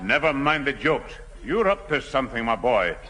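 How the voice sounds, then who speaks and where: An actor playing a stern, suspicious old grandfather in an old radio sitcom, reproving and sharp. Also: mid-range voice, big pitch swings, normal speed, background noise, lightly acted. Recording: reverberant 1970 radio recording.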